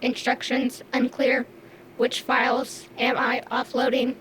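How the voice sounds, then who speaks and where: A small, earnest robot helper with a high voice. It speaks haltingly and literally, tripping on words. There is faint noise behind it in this sci-fi audio drama. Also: female voice, monotone, fairly bright tone, low-quality recording. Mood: timid, earnest. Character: timid, literal-minded little robot helper.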